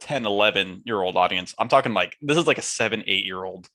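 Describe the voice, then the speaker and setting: Young man, somewhat low pitch, bright tone, casual podcast chat. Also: fast talker. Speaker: podcast co-host.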